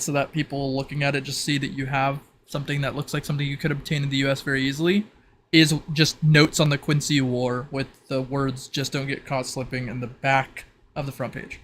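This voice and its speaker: A man acting as game master, improvising narration for a tabletop roleplay in a halting, think-aloud manner. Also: medium pitch, level tone, a bit bright, slight background noise.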